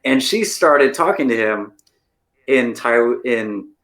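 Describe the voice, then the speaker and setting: A man's voice: mid-range voice, animated, takes it slowly, a bit bright. An American man co-hosting a podcast, talking casually and telling stories with plenty of "like" and "right?". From United States. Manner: chatty, storytelling, lots of "like" and "right?". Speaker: podcast co-host. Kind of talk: casual chat. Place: lives in Taiwan.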